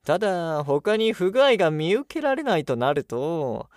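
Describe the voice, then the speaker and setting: Measured, lively-pitched polite male technician. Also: dry recording, clean studio sound. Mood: knowing. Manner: formal polite speech, explanatory, slightly theatrical. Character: polite, analytical male technician of living machines, explains patiently. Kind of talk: Japanese audio drama acting.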